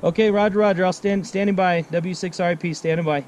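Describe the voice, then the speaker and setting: A middle-aged American man chatting casually as a ham radio operator outdoors, halting and laid-back, repeating his phrases. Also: high voice, fairly even intonation, very noisy. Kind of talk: casual radio contact chat. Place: outdoors at a picnic table on a mountain.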